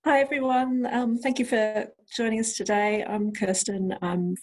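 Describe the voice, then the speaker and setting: A woman's voice: fairly high pitch, fairly even intonation, measured pace, darkish tone. A woman with an Australian accent introducing herself as a guest speaker on a video call, friendly and a little hesitant.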